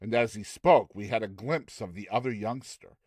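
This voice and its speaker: A man narrating classic fiction as a dramatized audiobook, with measured, theatrical storytelling. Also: on the low side, a little mellow, breathy edge, no room sound, clean studio sound. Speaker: narrator, reader.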